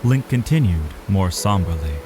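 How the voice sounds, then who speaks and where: A man's voice: low voice, expressive, normal speed, background noise. A man narrating a scripted fantasy story in a dramatic style.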